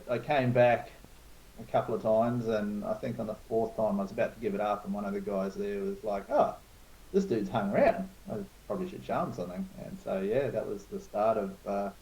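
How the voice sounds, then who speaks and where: A man's voice: fairly low pitch, dark tone, muffled recording. A man in his forties from New Zealand, telling stories in a frank, self-mocking way as a guest in a casual chat. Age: 40s. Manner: laid-back storytelling, frank and self-mocking. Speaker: guest, club organizer.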